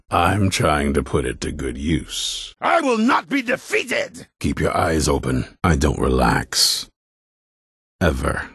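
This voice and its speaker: An extremely deep, husky voice for a video game's old vampire hunter. He is a grim, ruthless commander, stern and blunt. His delivery swings widely in pitch and has a heavy rasp. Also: no room sound, studio quality, full character voice. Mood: furious battle shouting. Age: older. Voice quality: very deep, gravelly, raspy. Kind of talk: video game character dialogue.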